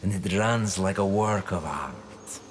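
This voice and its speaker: An actor playing a cold, grandiose scheming conspirator in a video game, speaking in a measured, theatrical, eloquent way. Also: male voice, low voice, slight background noise. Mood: smug, persuasive. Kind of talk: dramatic video game cutscene acting.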